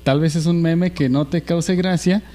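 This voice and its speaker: A man's voice: fairly high pitch, steady tone, normal speed, warm tone. A young man with a Mexican Spanish accent, chatting casually with friends on a podcast and rambling through his points with many restarts. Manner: rambling, hesitant, slangy. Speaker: podcast co-host. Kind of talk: casual chat.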